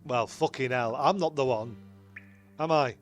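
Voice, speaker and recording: A man's voice: mid-range voice, a little slow, faint music behind, lightly acted. A man voicing a blustering, sweary castaway in a comic audio story, flustered and repeating himself. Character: blustering, sweary bloke among shipwrecked lads.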